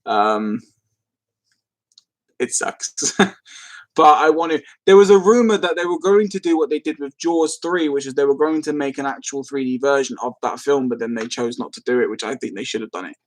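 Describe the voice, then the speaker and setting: A man's voice: medium pitch, moderate pace. A man hosting a casual live stream, rambling as he replies to comments and easily amused. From London, England.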